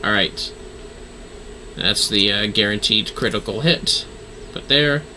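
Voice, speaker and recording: A man's voice: fairly low pitch, takes it slowly, bright, forceful tone, slight background noise. A man livestreaming a video game with casual, offhand commentary and sweary joking asides.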